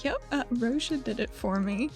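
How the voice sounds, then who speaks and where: Higher-pitched woman with creaky voice. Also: moderate pace, darkish tone, faint music behind. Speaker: tabletop game player. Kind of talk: casual improvised role-play.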